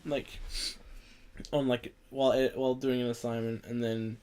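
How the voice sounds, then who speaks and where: A man's voice: medium pitch, flat delivery, normal speed, mellow, smooth. Young aussie guy on a gaming podcast, kinda tired, rambly and jokey, swears a bit. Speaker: podcast host. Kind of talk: casual chat about video games.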